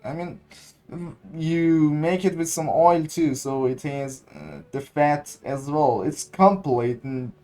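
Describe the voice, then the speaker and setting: Slow-talking Iranian man, hesitant. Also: fairly high pitch, steady tone, warm tone. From Iran. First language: Persian. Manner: hesitant, searching for English words. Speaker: gaming content creator. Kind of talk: casual gameplay commentary.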